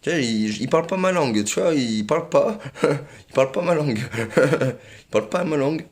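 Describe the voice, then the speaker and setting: A man's voice: a little high, expressive, moderate pace. A man rambling in a casual monologue, repeating himself often, with many fillers and little chuckles.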